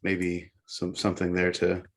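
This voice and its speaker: A man with a fairly low voice co-hosts a sports talk show. He recaps the news in a measured, halting way, repeating words as he goes. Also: completely flat delivery, fairly bright tone, a little hiss or hum.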